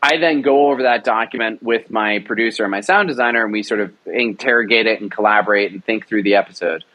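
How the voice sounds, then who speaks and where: A man's voice: on the low side, quick pace, bright, forceful tone, poor audio. Enthusiastic young man explaining production. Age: young adult. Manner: enthusiastic, rambling with fillers. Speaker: writer-director of an audio drama. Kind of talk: casual interview talk.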